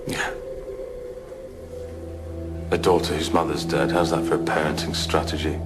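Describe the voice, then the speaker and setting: A man's voice: extremely low pitch, little pitch movement, normal speed, loud music behind the voice. A British man playing a bitter, defensive divorced father under police questioning, sarcastic and defiant in a naturalistic drama.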